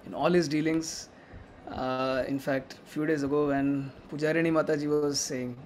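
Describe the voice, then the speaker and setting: A man's voice: mid-range voice, slight background noise, poor audio. A man with an Indian accent, Hindi his first language, sharing heartfelt personal memories at a memorial gathering in an earnest storytelling way. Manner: earnest, storytelling with light laughs, grows animated. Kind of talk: personal remembrance talk.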